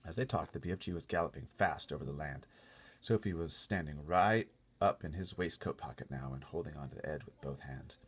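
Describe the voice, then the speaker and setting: A man reading a children's story aloud as an expressive narrator. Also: extremely low pitch, fairly quick, slightly rough. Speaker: storybook reader.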